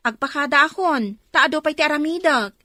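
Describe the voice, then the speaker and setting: A woman acting an earnest, soft-hearted young student in a radio drama, with emotional delivery. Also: high voice, fairly quick, dry recording, studio quality. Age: young adult.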